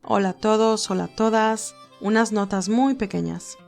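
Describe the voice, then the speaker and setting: A woman's voice: mid-range voice, fairly even intonation, moderate pace, creaky, darkish tone, faint music behind. A woman narrating a fan fiction audio story and reading scripted notes to listeners as its host.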